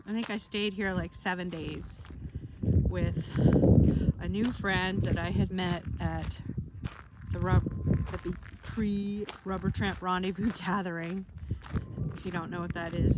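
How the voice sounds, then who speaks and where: A woman's voice: fairly low pitch, very noisy. A woman in her fifties, vlogging while walking outdoors and reminiscing in a rambling way, often correcting herself. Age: 50s. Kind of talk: casual walking vlog. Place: outdoors in the desert.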